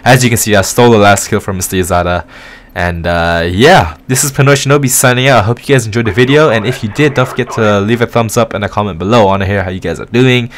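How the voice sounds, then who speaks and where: A man's voice: fairly low pitch, fast, few pauses, a little hiss or hum. A man of about twenty from London with a mixed British and American accent, talking casually as he gives a gameplay commentary. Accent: London English mixed with American. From London, England. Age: around 20. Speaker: gaming video creator. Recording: music behind the voice. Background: music.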